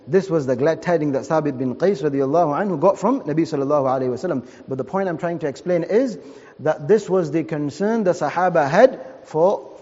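Man, preacher, storytelling, mellow voice, long hall reverb. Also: fairly high pitch, dull audio. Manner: storytelling with Arabic phrases. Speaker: Islamic preacher. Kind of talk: religious talk.

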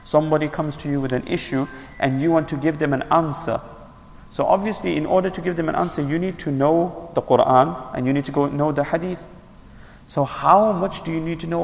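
A man with a gravelly, somewhat high voice gives a religious lesson. He explains patiently, poses his own questions and answers them, and repeats his key points. His voice rings with a long reverb, as if in a large hall. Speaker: Islamic teacher. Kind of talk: religious lecture.

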